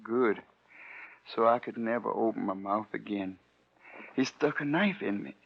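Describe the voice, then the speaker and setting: An actor playing a weary, bitter poor man who is dying, speaking weakly and haltingly in folksy slang in a 1940s radio drama. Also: on the low side, creaky. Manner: weak, halting, folksy slang, wryly mocking. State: weak, failing breath. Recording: dull vintage radio recording.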